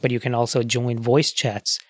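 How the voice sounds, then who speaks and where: A man's voice: medium pitch, level tone, normal speed, breathy edge, no echo, clean studio sound. A man hosting a podcast, chatting casually and explaining things with asides and fillers. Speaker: podcast host.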